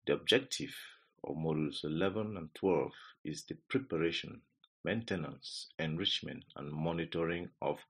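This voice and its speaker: A Cameroonian man narrates a training lesson from a script. His voice is low and somewhat husky, with a Cameroonian accent. He reads deliberately, setting out each phrase in turn as an instructor would. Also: fairly bright tone.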